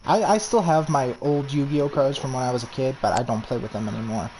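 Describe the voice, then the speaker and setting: A man's voice: medium pitch, brisk, darkish tone, a little hiss or hum, rough recording. A man in his early twenties from New York, telling crude, boastful stories in a casual voice chat with lots of restarts. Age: 20s. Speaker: gamer in voice chat.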